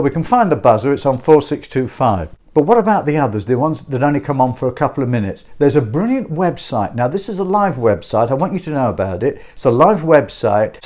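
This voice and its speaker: Guy hosting a homemade hobby explainer, chatty, mid-pitched voice, short phrases that he repeats. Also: a little mellow. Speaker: YouTube host and presenter. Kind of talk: informal explainer to camera about a radio hobby.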